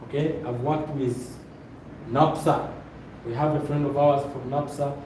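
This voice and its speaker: A man's voice: mid-range voice, fairly even intonation, a little slow, darkish tone. A man with a Zambian accent instructing a live room as a motivational trainer, directing listeners, repeating phrases and checking that they follow. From Luapula, Zambia. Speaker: trainer leading a presentation course. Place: indoor training room with stage. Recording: very echoey room, distant mic, noisy.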